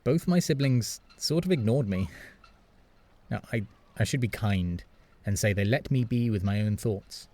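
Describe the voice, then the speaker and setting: Melancholy narrator, lower pitch, measured, reflective, first-person audio drama storytelling. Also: male voice, a little mellow. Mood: wistful. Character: melancholy adult brother narrating, lonely and wistful.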